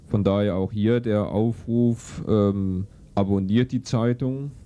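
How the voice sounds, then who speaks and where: A man's voice: fairly low pitch, fairly even intonation, takes it slowly, mellow. A man interviewing and presenting on the radio.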